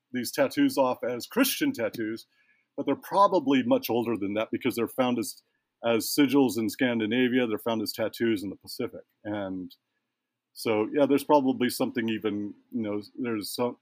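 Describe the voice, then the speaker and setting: A man's voice: mid-range voice, no echo. A man speaking as an interview guest, telling a long personal story in a thoughtful, meandering way that trails off and restarts. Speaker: guest, ritual tattoo practitioner. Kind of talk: storytelling in a conversation.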